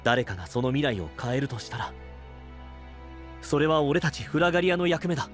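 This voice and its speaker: A man playing a dutiful guardian knight in an anime-style voice drama, grave, gentle and earnest. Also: medium pitch, expressive, brisk, faint music behind, close to an everyday voice. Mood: earnest, resolute.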